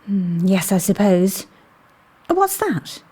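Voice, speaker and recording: Woman acting calm mysterious lady investigator, soft-edged mellow voice, lots of up n down, thoughtful, audio drama. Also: mid-range voice, breathy edge, full character voice. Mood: thoughtful, curious. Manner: measured, thoughtful, questioning. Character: calm, perceptive, mysterious female otherworldly investigator.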